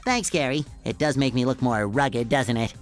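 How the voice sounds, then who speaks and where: A man voicing a cheerful, naive young sea sponge in a cartoon game, with a squeaky, nasal, exuberant delivery. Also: animated, moderate pace, close to an everyday voice. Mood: curious. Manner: exuberant, earnest, childlike. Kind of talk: animated game cutscene acting. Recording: game music under the voice.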